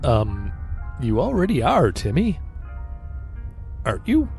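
A man's voice: medium pitch, wildly swooping pitch, slow, gravelly, a little mellow, exaggerated voice. A man playing a dry, world-weary older scoutmaster in a sci-fi radio adventure, wary and puzzled. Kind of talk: retro radio adventure acting. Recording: music behind the voice.

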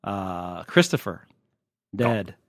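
A man's voice: sits low, animated, extremely slow, no room sound, studio quality. An American man hosting a podcast, chatting casually and off the cuff in a joking, irreverent and digressive way, with some mild swearing. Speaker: podcast host, TV critic. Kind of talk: casual, unscripted podcast chat.

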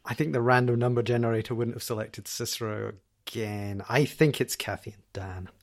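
A man on a game show panel, casually reasoning out his argument in a halting way. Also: mid-range voice, fairly even intonation.

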